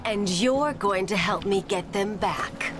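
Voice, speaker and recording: A woman playing a fierce, demanding young villainess who commands forcefully in a video game. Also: mid-range voice, slow pace, lightly acted. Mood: angry demanding. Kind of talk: video game cutscene acting. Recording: loud music behind the voice.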